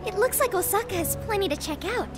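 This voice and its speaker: A woman's voice: very high-pitched, lively intonation, quick pace, over music. A woman voicing a cheerful, eager young girl in an anime video game, with a perky way of talking. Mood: upbeat.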